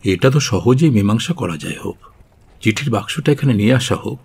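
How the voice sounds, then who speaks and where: A man's voice: fairly low pitch, expressive, brisk, creaky, a little mellow, a little hiss or hum. A man playing a grave, authoritative Prime Minister in a radio drama, speaking with commanding emphasis. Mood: stern. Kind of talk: Bengali radio drama acting.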